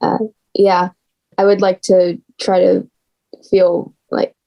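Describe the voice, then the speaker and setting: A woman's voice: fairly low pitch, monotone, slow pace, gravelly, lo-fi sound. A young woman giving casual, informal answers on a panel in a tentative way. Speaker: young scriptwriter and singer.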